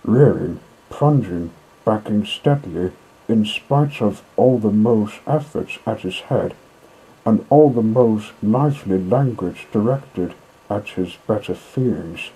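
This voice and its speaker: Male audiobook narrator, husky and unhurried, mellow tone, measured dramatic storytelling for a children's classic. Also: on the low side, low-quality recording.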